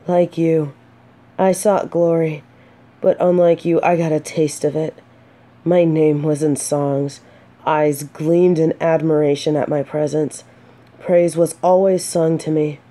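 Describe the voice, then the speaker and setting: A woman's voice: low-pitched, fairly even intonation, slow, warm tone. A woman playing an earnest, remorseful exiled female knight in a romantic fantasy audio roleplay, speaking solemnly and faltering when flustered. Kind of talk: scripted romantic audio roleplay, addressing the listener.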